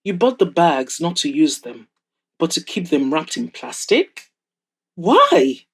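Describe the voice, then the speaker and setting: A woman's voice: deep voice, sing-song, takes it slowly, breathy edge, exaggerated voice. Cocky, incredulous rich young man, voiced by a woman. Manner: questioning, indignant.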